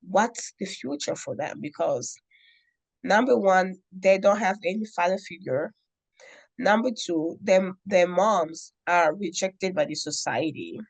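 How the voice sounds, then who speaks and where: A woman's voice: lowish voice, animated, creaky, rough recording. Earnest woman telling her story. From Democratic Republic of Congo. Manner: earnest, deliberate, with frequent pauses and fillers. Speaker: guest, nonprofit founder. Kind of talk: interview storytelling.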